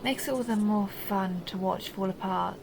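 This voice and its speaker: A woman's voice: mid-range voice, moderate pace, vocal fry, noisy background, close to an everyday voice. A woman playing a seductive, possessive vampire countess who teases and condescends in an intimate audio roleplay monologue. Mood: musing, taunting. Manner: teasing, mocking, intimate purring address.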